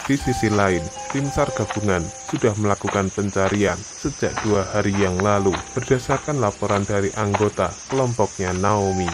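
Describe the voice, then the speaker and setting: A man's voice: on the low side, normal speed, hardly any pauses, noisy background. A man narrating a scripted horror story.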